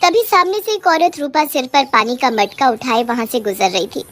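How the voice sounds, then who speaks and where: A woman's voice: high-pitched, moderate pace, fairly bright tone. A woman reading scripted narration for a cartoon horror story as the storyteller.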